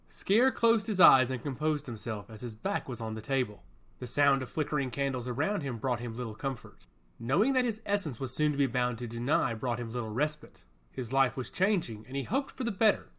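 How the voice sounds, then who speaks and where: A man's voice: mid-range voice, big pitch swings, a little fast, a bit bright. A man reading scripted fantasy fiction aloud as a storyteller. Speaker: audiobook narrator.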